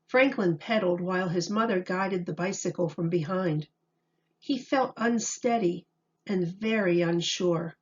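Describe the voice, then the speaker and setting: A woman reading a children's story aloud like a teacher, in a deliberate, expressive way. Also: fairly low pitch, lo-fi sound.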